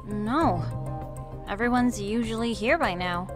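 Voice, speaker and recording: A woman voicing an easygoing, gossipy high school girl in a video game dub, casual and chatty. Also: measured pace, music in the background, natural voice. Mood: mildly impatient.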